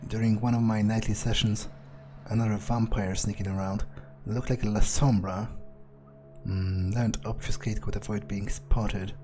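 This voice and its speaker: Low, mellow man musing in character. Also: level tone, normal speed, faint music behind. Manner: hesitant musing with muttered asides. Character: self-assured, scornful male vampire player character. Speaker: gameplay video player. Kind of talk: in-character game playthrough, thinking aloud. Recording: noticeable background noise.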